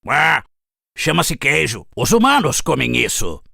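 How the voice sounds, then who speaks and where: Snooty selfish cartoon crow, super gravelly and sharp, highish. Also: male voice, sing-song, a little slow, no echo, studio quality, heavily acted. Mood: boastful. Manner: haughty, dismissive. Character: proud, selfish, haughty male crow guarding his cheese. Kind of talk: children's cartoon fable dub.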